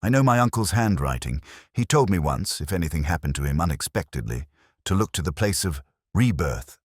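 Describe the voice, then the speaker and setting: A man's voice: low voice, sing-song, brisk, husky, no room sound, studio quality, heavily acted. A man voicing an earnest, anxious niece of a vicar in a mystery story, with an urgent manner. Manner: urgent, trembling.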